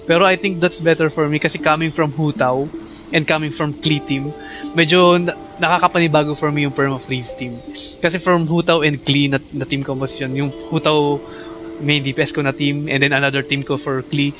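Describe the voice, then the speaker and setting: A man's voice: fairly high pitch, fairly even intonation, a little fast. A man with a Filipino accent, a native Tagalog speaker, giving casual, chatty livestream commentary on a game. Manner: chatty, switches between Tagalog and English. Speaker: game streamer. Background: game music.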